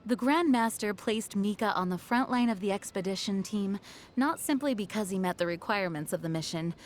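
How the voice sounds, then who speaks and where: A woman voicing an earnest, dutiful young knight commander in a video game, speaking in a formal, measured way. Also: mid-range voice, slight background noise, close to an everyday voice. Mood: earnest resolve. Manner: formal, measured, solemn diction. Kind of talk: video game character voice lines.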